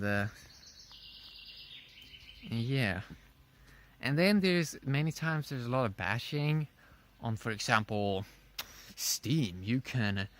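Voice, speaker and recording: A man giving a casual, unscripted opinion monologue in a rambling, halting way, often repeating his phrases. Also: lowish voice. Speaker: vlogger.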